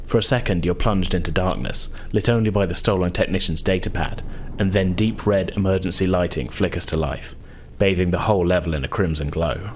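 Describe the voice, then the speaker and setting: A man narrating a tabletop roleplaying game as game master, in a measured, descriptive way. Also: low-pitched, slight background noise.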